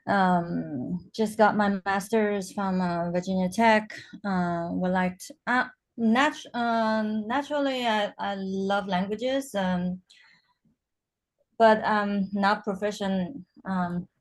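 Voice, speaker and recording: A woman introduces herself to an online language class over a video call. She speaks slowly and hesitantly, filling her pauses again and again. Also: mid-range voice, steady tone. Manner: hesitant, many fillers. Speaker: student.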